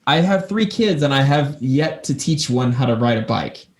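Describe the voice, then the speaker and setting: Male co-host, average pitch, informal emphatic chat with some swearing, echoey room. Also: animated, normal speed. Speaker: podcast co-host. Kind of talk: casual chat with personal anecdotes. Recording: roomy, echoey room sound.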